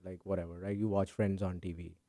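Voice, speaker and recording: A man's voice: low voice, level tone, brisk, mellow, dry recording, studio quality. A middle-aged man hosting a podcast interview, asking long, thoughtful, winding questions. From India. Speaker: podcast host. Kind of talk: conversational interview.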